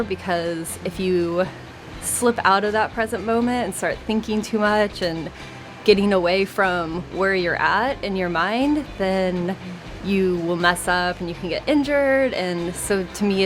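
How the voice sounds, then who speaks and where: A woman from Oregon, a longtime surfer, speaking in an earnest, heartfelt way. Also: on the low side. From Oregon, USA. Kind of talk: reflective interview talk.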